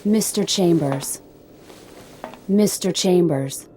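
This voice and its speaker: An actress playing a polite, literal-minded spaceship computer in a science fiction radio drama, with formal, clipped, repetitive phrasing. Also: female voice, fairly low pitch, slow, mellow, a little hiss or hum. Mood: polite, detached.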